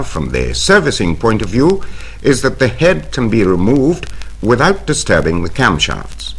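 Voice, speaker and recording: A British man reading a scripted instructional narration in a formal, precise, measured way, from a 1970 vinyl record soundtrack. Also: on the low side, fairly bright tone, slightly rough, rough recording. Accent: British English. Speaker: training film narrator.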